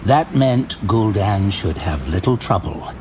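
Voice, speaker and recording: A man giving scripted fantasy audio drama narration, measured and dramatic, recorded as a studio voice-over. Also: fairly low pitch, expressive, noisy background. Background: faint music.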